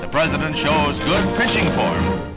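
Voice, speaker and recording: Dramatic American newsreel man over loud music. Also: on the high side, expressive, unhurried, poor audio. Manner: dramatic, emphatic announcer delivery with florid phrasing. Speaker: newsreel narrator. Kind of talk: 1960 newsreel scripted narration. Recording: vintage 1960 newsreel soundtrack, loud music. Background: loud orchestral newsreel music.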